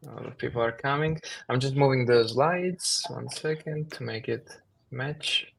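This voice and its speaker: A man from Ukraine co-hosting a live online webinar. He admits he is nervous and talks haltingly, with restarts, over a computer mic. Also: medium pitch, gravelly. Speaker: webinar co-host. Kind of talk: live webinar, casual opening chat.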